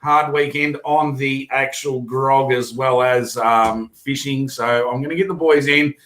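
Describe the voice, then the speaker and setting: A man with an Australian accent hosting a casual fishing show, his voice hoarse, talking in a rambling, chatty way with many fillers and restarts. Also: mid-range voice, fairly even intonation, unhurried, a bit bright. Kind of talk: casual live stream chat.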